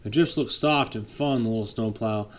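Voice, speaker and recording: A man reading a picture book aloud to his child at bedtime, lively, pausing to chat with the child. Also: on the low side. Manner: lively read-aloud, voices for the trucks, chats with the child. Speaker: father reading a bedtime story.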